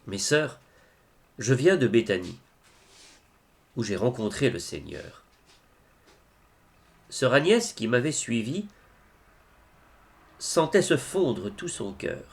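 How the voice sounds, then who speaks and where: A man with a mid-pitched voice recites devotional verse very slowly. His delivery is solemn and declamatory, with wide rises and falls in pitch and deliberate pauses between phrases. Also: very animated, gravelly. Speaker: reader of devotional poetry.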